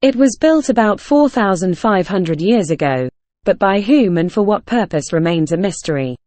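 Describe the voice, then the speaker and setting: A woman's voice: mid-range voice, lively intonation, moderate pace, darkish tone, no room sound. A woman reading a scripted passage aloud as a narrator for practice exercises.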